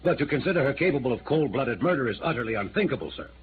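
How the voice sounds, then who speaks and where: Tinny old radio recording, male actor playing stuffy mayor, medium pitch, formal, emphatic. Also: very animated, very fast, background noise, lightly acted. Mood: indignant, emphatic. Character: pompous, indignant small-town male mayor. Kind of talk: mid-century American radio drama acting.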